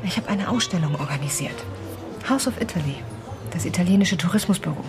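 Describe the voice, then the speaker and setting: An actress plays an ambitious young woman facing an old lover, guarded and cool. Her delivery is naturalistic radio drama, a little defensive. Music sits clearly under the voice. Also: lowish voice, expressive, fairly quick, natural voice. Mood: cool, needling.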